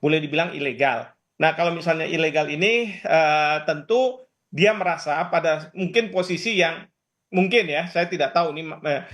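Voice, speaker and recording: A man giving expert analysis in an interview, explaining in a halting way. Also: fairly high pitch, a bit bright. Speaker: expert guest, professor.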